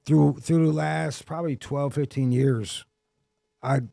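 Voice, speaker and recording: An American man hosting a podcast in casual, blunt, sweary slang, with a gravelly, husky voice. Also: fairly high pitch, fairly even intonation, a little slow, dark tone, no echo, studio quality. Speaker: podcast host. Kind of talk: casual chat.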